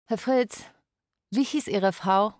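An actress playing a polite, persistent young Polish carer in a radio drama, with careful phrasing, both narrating and speaking. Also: female voice, mid-range voice, takes it slowly, a little mellow, no room sound, clean studio sound, close to an everyday voice. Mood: polite, caring. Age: young adult. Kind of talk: radio drama, part narration in third person.

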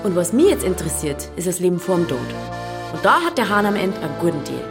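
A woman playing a defiant, quick-witted young inn heiress with a Bavarian accent in a radio drama. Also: fairly low pitch, sing-song. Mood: cheeky, argumentative. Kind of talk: German radio drama acting. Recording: faint music behind.

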